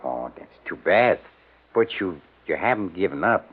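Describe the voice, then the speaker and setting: Formal polite assistant man, really low voice, precise, old radio drama, phone-like sound. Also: big pitch swings, a little slow, breathy edge, exaggerated voice. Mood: polite, probing. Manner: courteous, precise. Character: smooth, polite, formal male employee of rich man. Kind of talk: 1950s radio drama acting.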